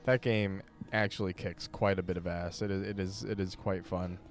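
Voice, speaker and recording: A man streaming a game, chatting in a relaxed, playful way and laughing easily. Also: deep voice, normal speed, soft background music. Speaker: streamer, host. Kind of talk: casual chat while streaming a game.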